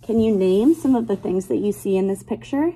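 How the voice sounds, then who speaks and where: A woman in her twenties, a teacher reading a picture book aloud to young children in a deliberate, child-directed way. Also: medium pitch, dark tone, slightly rough, low-quality recording. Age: 20s. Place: small classroom, indoors.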